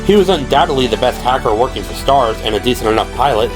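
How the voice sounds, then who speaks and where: A man's voice: medium pitch, expressive, fast talker, over music, poor audio. A man reading scripted, dramatic prose as the narrator of an audio drama. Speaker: narrator and performer.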